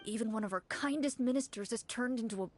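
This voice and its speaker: A woman's voice: fairly high pitch, quick pace, natural voice. A woman voicing an earnest, hopeful young townsperson in a game, speaking eagerly. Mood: worried. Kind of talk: game voice acting.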